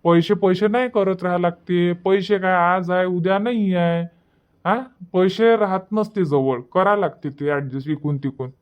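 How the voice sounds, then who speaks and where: A blunt, scolding village husband acted by a man from a cartoon comedy. His voice sits high for a man, with a Varhadi Marathi accent. He sounds fed up, on a dull, lo-fi recording. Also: moderate pace, clean tone, natural voice. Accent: Varhadi Marathi from Vidarbha. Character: exasperated village husband, protective of his sister. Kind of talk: dubbed cartoon comedy dialogue.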